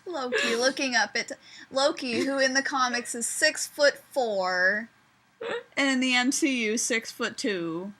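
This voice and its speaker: A woman's voice: on the high side, unhurried, pushed and bright. A giggly, sarcastic woman chiming in casually as co-host during a comic reading. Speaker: heckling co-host. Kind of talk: casual chat.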